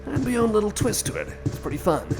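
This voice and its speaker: A man's voice: high voice, sing-song, fast, loud music behind the voice. A man playing a friendly, anxious cyborg companion in an ASMR roleplay, rambling hesitantly and repeating himself as he chats to the listener. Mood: rambling, wistful.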